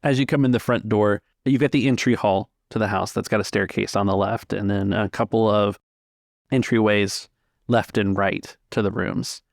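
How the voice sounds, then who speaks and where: A man narrating a tabletop game as game master, descriptive and measured, pausing mid-phrase. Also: lowish voice, fairly even intonation, creaky, dry recording, clean studio sound. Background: music.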